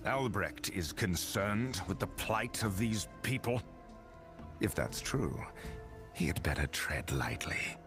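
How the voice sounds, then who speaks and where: A grim fantasy warrior with a low, deep voice. He talks slowly and bluntly, with grave weight on his words. Game music runs behind him. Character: grim, questioning male wandering warrior hero. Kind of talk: dramatic game voice acting.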